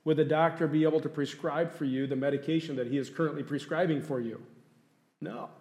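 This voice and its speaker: A man's voice: fairly high pitch, steady tone, a little fast, darkish tone. A male pastor preaching a sermon in a conversational way, often asking the congregation questions. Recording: echoey room.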